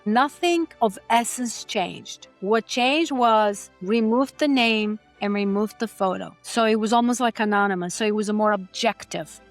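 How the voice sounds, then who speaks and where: A woman's voice: mid-range voice, normal speed, few pauses, soft background music. A woman from Greece, a podcast guest, explaining her views in an earnest, conversational way with occasional restarts. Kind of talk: conversational interview.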